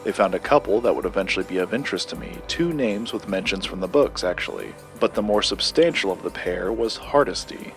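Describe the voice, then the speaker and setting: Low male voice, formal paranormal collector, guarded, measured wording, audio drama, faint music. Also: lively intonation. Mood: measured, explanatory. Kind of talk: scripted audio drama dialogue.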